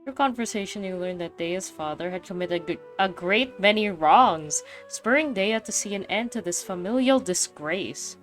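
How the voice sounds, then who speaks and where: A woman's voice: medium pitch, expressive, moderate pace, hardly any pauses. A woman giving casual commentary as she plays a video game, often thinking aloud absent-mindedly. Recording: faint game music behind. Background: video game music.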